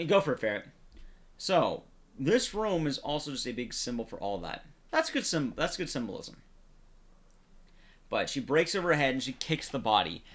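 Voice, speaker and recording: A man's voice: mid-range voice, fairly bright tone. A man giving casual, chatty gameplay commentary, explaining things as he goes. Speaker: video game commentator.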